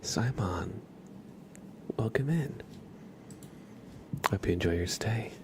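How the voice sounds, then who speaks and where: A man hosting an ASMR livestream, speaking in a breathy whisper and soft murmur, soothingly repeating words to viewers at a close microphone. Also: on the low side, animated, slow, noisy background. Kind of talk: ASMR, slow whispered roleplay and chat to viewers.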